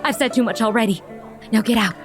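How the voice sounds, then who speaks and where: A woman's voice: on the high side, fast, lightly acted. A woman playing a frightened, brainwashed steakhouse waitress in a comedy sketch, with forced cheer and an ominous, urgent manner. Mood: fearful, insistent.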